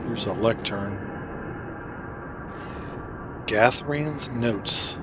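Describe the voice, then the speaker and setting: A man's voice: mid-range voice, very slow, heavy background noise. A man giving casual commentary as he plays through a video game. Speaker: gameplay streamer narrating a playthrough. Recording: loud game music behind. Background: loud game music.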